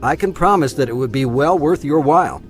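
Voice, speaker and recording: A man playing a wealthy, self-important older schemer in an audio drama, pompous and smooth-talking. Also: on the high side, lively intonation, brisk, faint music behind, close to an everyday voice. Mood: pompous, pressuring.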